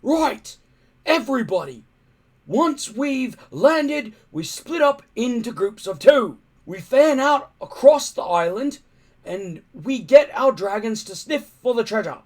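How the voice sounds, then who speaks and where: A man's voice: very high-pitched, sing-song, slow, pitched above the performer's own voice, full character voice. A man playing a bossy Viking chief who barks out orders emphatically in a storybook reading. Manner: commanding, emphatic shouting.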